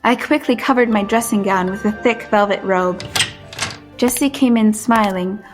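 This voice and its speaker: A woman playing a shy, awed young woman in a period audio drama, soft-spoken, hesitant and earnest. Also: medium pitch, measured pace, soft background music, natural voice. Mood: reflective. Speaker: lead actress and narrator. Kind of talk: first-person dramatic narration and dialogue.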